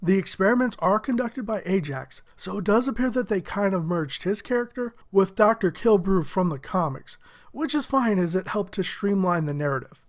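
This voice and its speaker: A higher-pitched man talks through a casual movie review with plain enthusiasm. His voice sounds a little stuffy and muffled from a head cold, and he chuckles here and there. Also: expressive, moderate pace. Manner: upbeat, enthusiastic, chuckles often.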